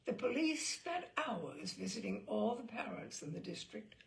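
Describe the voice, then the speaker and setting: A woman reading a story aloud in a dramatic, theatrical way, with deliberate pauses and emphatic phrasing. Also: medium pitch, big pitch swings, creaky. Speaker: reader of a story.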